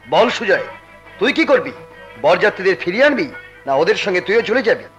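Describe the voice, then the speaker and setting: An actor playing a noble, resolute bridegroom and stern elder brother, earnest and firm in stage melodrama. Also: male voice, high voice, big pitch swings, a little fast, fairly bright tone, faint music behind, low-quality recording. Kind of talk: melodramatic Bengali folk theatre acting.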